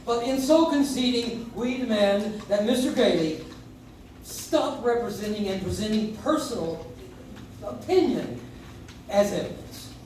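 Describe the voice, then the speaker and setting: A pompous prosecutor played by a man declaims in a high voice at a slow, deliberate pace, stiff and indignant. It is radio courtroom drama, heard from far off in a very echoey room. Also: lively intonation, a little mellow, a little hiss or hum. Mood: indignant, formal. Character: exasperated, pompous male prosecutor, stiffly formal. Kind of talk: old-time radio courtroom drama acting. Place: coffee shop stage, recorded from the audience. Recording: echoey live stage, far-off audience recorder.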